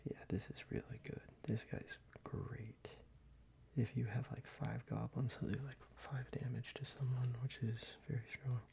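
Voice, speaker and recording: A man speaking in a soft, breathy whisper, gently rambling in a casual ASMR style. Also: mid-range voice, steady tone, moderate pace. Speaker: ASMR video creator. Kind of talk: soft-spoken ASMR, casual card-collection show-and-tell. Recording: muffled, with background hiss.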